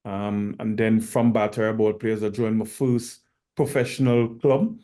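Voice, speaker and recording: Man, middle-aged, from Trinidad and Tobago, soccer coach, interview guest, measured, pausing often, steady and flat. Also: fairly low pitch. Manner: measured, frequent "um" pauses. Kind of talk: casual interview answers.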